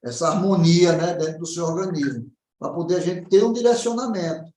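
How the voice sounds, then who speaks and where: Echoey, higher-voiced Brazilian man explaining. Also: a little fast, darkish tone, a little hiss or hum. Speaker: naturopath answering questions. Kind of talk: informal health consultation. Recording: roomy echo.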